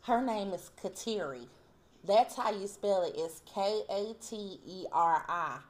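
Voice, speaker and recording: A woman's voice: mid-range voice, animated, measured pace. A woman talks casually with family, explaining things conversationally. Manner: conversational, explaining, quoting others' remarks. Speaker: granddaughter recording her grandmother.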